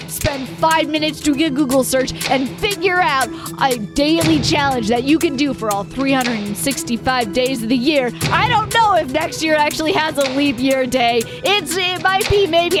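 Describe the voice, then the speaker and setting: Young female comedy podcast host, high-pitched and fired up, music playing behind. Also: moderate pace, few pauses. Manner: energetic, motivational ranting. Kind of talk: casual comedic chat.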